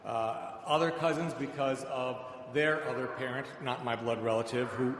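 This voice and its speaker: American preacher in an echoey hall. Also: male voice, mid-range voice, fairly even intonation, moderate pace, a bit bright. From suburbs of Chicago, United States. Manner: conversational, dryly humorous. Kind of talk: sermon with personal anecdotes. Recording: echoey hall, long reverb, background noise.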